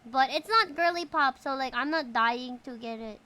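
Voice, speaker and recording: A woman's voice: high-pitched, normal speed, pushed and bright. A young woman with a Filipino English accent chatting casually with viewers while playing a game, greeting chat and swearing playfully. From Philippines. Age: young adult. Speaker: streamer.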